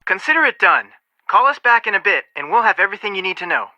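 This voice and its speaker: A man's voice: high voice, sing-song, fast talker, sharp tone, no echo, dull audio, heavily acted. An actor voicing a level-headed, protective young male amateur detective in a video game, speaking in an earnest, matter-of-fact way with dry wit. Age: young adult.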